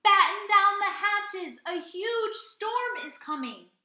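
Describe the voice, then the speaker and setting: A woman's voice: extremely high pitch, takes it slowly, bright, forceful tone, roomy sound, voice pushed up, heavily acted. A woman voicing a bookish, earnest young male rabbit in a storybook, polite and pleading. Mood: urgent.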